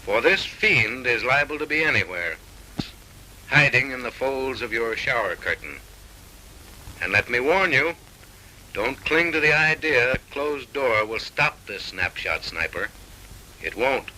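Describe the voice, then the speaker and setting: A man's voice: mid-range voice, big pitch swings, unhurried, bright, forceful tone, a little hiss or hum, rough recording. A man narrating a 1930s comic film with mock-serious, arch, theatrical delivery on an old film soundtrack.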